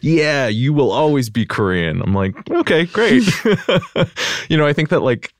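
A man's voice: fairly high pitch, very animated, measured pace, no echo, studio quality. An American man chatting as a podcast guest, reflective and casual, with lots of "like" and "you know" as he thinks aloud. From United States. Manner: reflective, filler-heavy with frequent "like" and "you know". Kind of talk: casual conversational interview.

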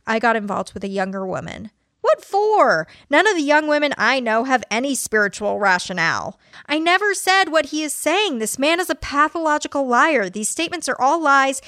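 A woman's voice: mid-range voice, a bit bright, dry recording, studio quality. A woman performing a dramatic reading as an aggrieved evangelist preacher, defiant and conspiratorial, with emphatic declarations. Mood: indignant. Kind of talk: dramatic reading of a religious tract.

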